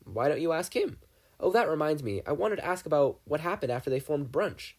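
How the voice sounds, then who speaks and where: A man's voice: fairly high pitch, sing-song, fairly quick, a little mellow, pitched above the performer's own voice. A man voicing an eccentric, distracted older scientist uncle in an audiobook reading, cheerful and rambling. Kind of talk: fan fiction audiobook reading.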